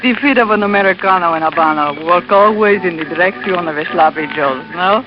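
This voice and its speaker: A woman's voice: lowish voice, a little fast, noisy background, lightly acted. Actress as tender Cuban woman, old radio. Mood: tender, wistful. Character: tender, wistful Cuban young woman companion. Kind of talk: 1940s radio acting. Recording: muffled old radio recording with music.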